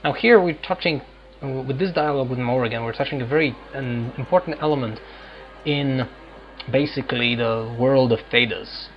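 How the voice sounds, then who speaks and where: A male gaming host with a middling pitch talks through a role-playing game. He explains reflectively and haltingly, unscripted. The sound is a little dull, with faint music behind.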